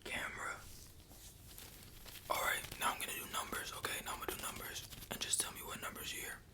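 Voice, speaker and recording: A man speaks entirely in a breathy whisper, playing a medical examiner in an ASMR roleplay. He gives simple instructions one step at a time and repeats short, soft reassurances as he goes. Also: fast talker.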